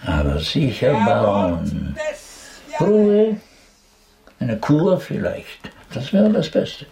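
Soothing doctor in a radio play, creaky, very up and down, a bit condescending. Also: male voice, mid-range voice, big pitch swings, very slow, dark tone, heavily acted. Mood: placating. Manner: placating, professional. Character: soothing, patronising male physician in old Vienna. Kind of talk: radio drama acting.

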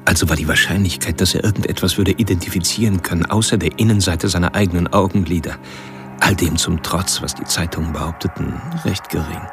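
An actor playing a cold, erudite serial killer in a radio drama monologue, pedantic and measured, addressing the listener directly. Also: male voice, deep voice, creaky. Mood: smug, detached. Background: music.

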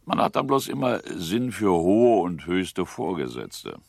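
Very deep, rambling country gentleman. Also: sing-song, a little slow, creaky, no room sound, clean studio sound, heavily acted. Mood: contented, expansive. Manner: hesitant, rambling, with interjections. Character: jovial, self-important older country-gentleman father. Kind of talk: German radio drama acting.

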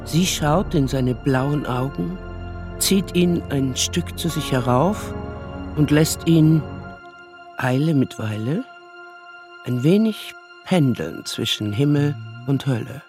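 An actress narrating a German radio play as a lyrical, measured storyteller. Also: female voice, low-pitched, animated, a little mellow, soft background music. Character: lyrical storyteller of a girl's dreams.

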